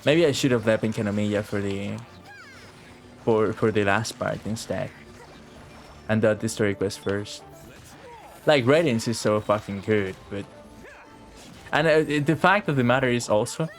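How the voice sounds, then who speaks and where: A man's voice: lowish voice, a little slow, long pauses, soft background music. A man giving casual, offhand live game commentary, swearing and muttering to himself as he plays. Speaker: game streamer.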